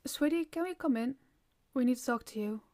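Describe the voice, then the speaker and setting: A woman playing a gentle, concerned vampire mother in an audio roleplay, coaxing a child with nurturing but firm parental care. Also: sits high, a little fast, slight background noise, lightly acted. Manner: soft-hearted, coaxing, parental.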